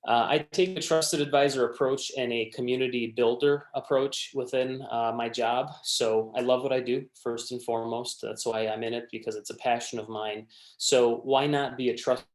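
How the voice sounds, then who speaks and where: A man's voice: mid-range voice, steady tone, moderate pace. Man presenting a resume workshop, echoey room. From born in Romania, raised in Michigan. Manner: friendly, frequent fillers and restarts. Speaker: recruiter giving a resume workshop. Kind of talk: casual presentation to students.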